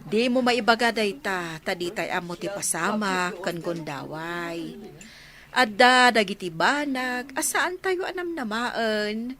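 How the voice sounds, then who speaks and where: A woman plays a probing village mother with a bright, forceful voice at medium pitch. She speaks in an Ilocano accent, frank and questioning, in radio drama style. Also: lively intonation, unhurried. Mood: probing, advising.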